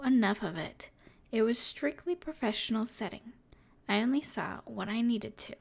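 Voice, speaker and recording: A woman playing a smug, teasing evil sorceress in an intimate ASMR roleplay, with a breathy edge and a haughty, mysterious manner. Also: a little high, a little mellow, full character voice. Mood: matter-of-fact.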